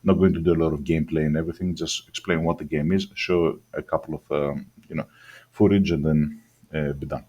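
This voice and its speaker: Low husky man with a mixed accent. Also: steady tone, brisk, dark tone, highs cut off. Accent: mixed, partly Greek-sounding. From Australia. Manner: rambling, hesitant, many ums and restarts. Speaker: gaming channel host. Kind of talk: casual game review talk.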